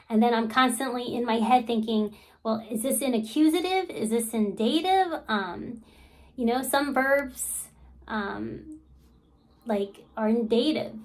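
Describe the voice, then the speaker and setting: Chatty woman with a slightly high voice. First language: English. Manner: chatty, frequent ums and you-knows. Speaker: channel host. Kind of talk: casual talk to camera.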